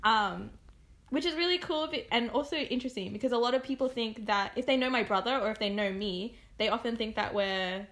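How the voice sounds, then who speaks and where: A young Australian woman with a somewhat high voice, talking easily with a close friend. She keeps a relaxed, open manner and laughs often. Her speech is unhurried and conversational. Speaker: podcast co-host. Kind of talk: casual chat between friends.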